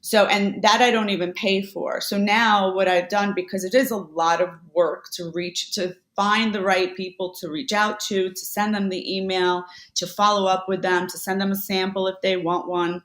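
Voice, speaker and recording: A talkative woman on a podcast, chatting easily with asides and quick self-corrections. Her voice sits at an ordinary middle pitch. The room around her rings with noticeable echo. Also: little pitch movement, a bit bright. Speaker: podcast guest, Amazon seller. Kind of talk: casual conversational interview.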